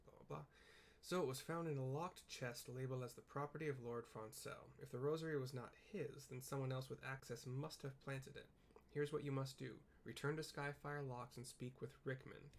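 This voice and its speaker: Rambling casual male gamer, mid-pitched. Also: steady tone, moderate pace, a little hiss or hum. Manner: rambling, thinking aloud. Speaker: gaming video host. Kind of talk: casual chat while playing and reading game text aloud.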